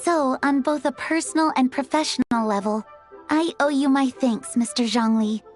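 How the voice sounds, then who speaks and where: An actress playing a refined, gracious antiques dealer in a video game, speaking politely, formally and earnestly. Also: female voice, high voice, normal speed, soft background music, natural voice. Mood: grateful, gracious.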